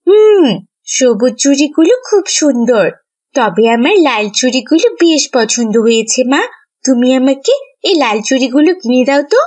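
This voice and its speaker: A woman's voice: high voice, expressive, normal speed, darkish tone. A woman voicing a stubborn, pleading little village girl in a cartoon, whiny and insistent. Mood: eager. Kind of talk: cartoon voice acting.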